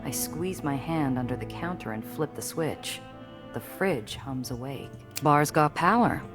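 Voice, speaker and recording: Very low-voiced wry woman. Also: expressive, soft background music. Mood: blunt, practical. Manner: measured, literary narration with blunt casual asides. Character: tough, wry woman narrating her own noir story. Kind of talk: scripted first-person game narration.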